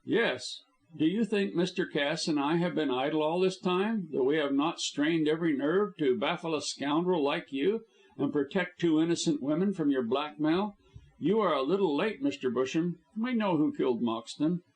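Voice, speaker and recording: A man reading an audiobook, voicing a calm, measured male doctor. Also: fairly high pitch, natural voice, rough recording.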